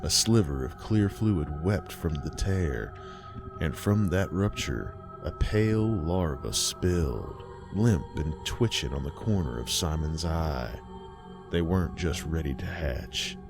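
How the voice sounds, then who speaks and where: A man narrating a horror story in a measured, ominous way. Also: very deep voice, animated. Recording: music bed behind.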